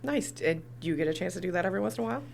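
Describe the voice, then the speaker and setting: A woman's voice: lowish voice, expressive, racing, vocal fry, a little hiss or hum. A woman hosting a conversational podcast interview, asking questions as a communications director.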